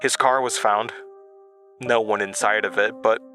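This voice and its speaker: Man acting out a gentle woman, philosophical, comforting, wistful, audio drama dialogue, clear music bed. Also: lowish voice, steady tone, unhurried, close to an everyday voice. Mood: sad, wistful.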